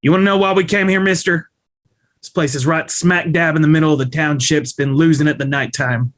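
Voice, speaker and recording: A fairly high male voice from an actor playing a wary frontier rifleman. He is blunt and confrontational, pressing with suspicion in a western table read. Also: steady tone, fairly quick, fairly bright tone, lightly acted.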